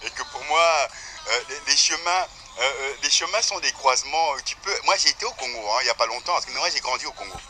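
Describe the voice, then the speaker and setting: A man's voice: medium pitch, fast, hardly any pauses, background noise. A man chatting enthusiastically and repeating words as he talks. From Belgium. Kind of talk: casual chat.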